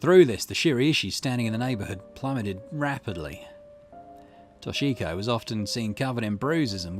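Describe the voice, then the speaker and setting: A man reading video game dialogue aloud in an expressive way, with the occasional small stumble. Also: medium pitch. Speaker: gaming channel host reading a visual novel aloud.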